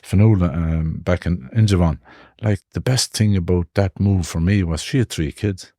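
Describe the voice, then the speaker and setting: A man in his fifties with an Irish accent from Connemara, telling his life story in a reflective, halting way. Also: sits low, mellow, no echo, studio quality. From Ireland. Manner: reflective, halting, with frequent restarts. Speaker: guest. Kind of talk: personal life story in conversation.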